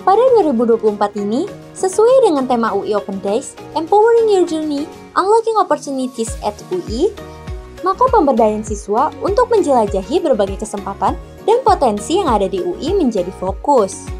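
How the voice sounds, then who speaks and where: A woman reporter reading a scripted campus news report with lively emphasis. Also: sits high, fairly quick, soft background music.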